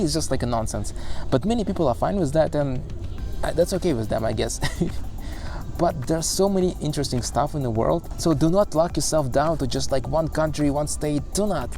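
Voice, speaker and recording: A man's voice: medium pitch, gravelly, warm tone. A man in his thirties from Russia, speaking English with a Russian accent, rambling casually to the camera with many fillers and restarts. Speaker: vlogger. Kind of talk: casual vlog monologue. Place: street in a city.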